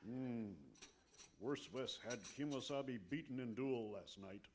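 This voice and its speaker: An actor playing a loyal, reluctant cowboy sidekick who speaks halting broken English, deadpan, in a comic radio western. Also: male voice, mid-range voice, close to an everyday voice. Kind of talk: comic old-time radio western acting. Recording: faintly noisy live stage recording.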